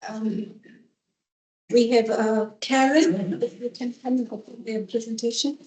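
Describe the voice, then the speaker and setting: A woman's voice: on the high side, fairly even intonation, slow pace, a little mellow. A woman moderating a seminar, introducing speakers in a hesitant way with many fillers. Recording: echoey conference room.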